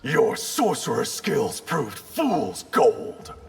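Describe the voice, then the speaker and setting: Gravelly, stern warrior. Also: male voice, mid-range voice, huge pitch swings, very slow, faint music behind, full character voice. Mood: cold, suspicious. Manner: curt, cold, commanding. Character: stern, distrustful male ice warrior. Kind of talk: dramatic video game acting.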